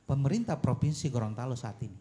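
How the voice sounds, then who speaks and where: A man speaking as a panelist at a public forum, arguing a policy point in deliberate, emphatic phrases with rhetorical questions. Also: medium pitch, expressive, warm tone. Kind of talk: argumentative forum speech on policy.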